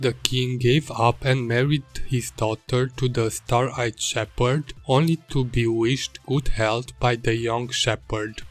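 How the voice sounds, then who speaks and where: Slow-speaking Hungarian-accented man. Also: mid-range voice. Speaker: illustrator and channel host. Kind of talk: calm narrated art voice-over.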